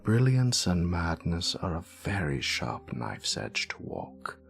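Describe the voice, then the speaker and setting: Grumpy, sassy British wizard professor, played by a man: very low voice, slow theatrical delivery, savouring every word of the lore. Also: big pitch swings, soft background music, heavily acted. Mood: ominous, relishing. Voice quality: gravelly, creaky. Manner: wry, theatrical, savouring words with dramatic pauses. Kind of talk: scripted in-character lore monologue.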